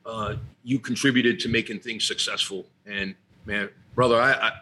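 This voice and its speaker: A man co-hosting a podcast, speaking in an emotional, halting way about an old comrade. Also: on the low side, fairly even intonation, sharp tone, slight background noise, rough recording. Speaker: podcast co-host. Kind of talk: conversational tribute.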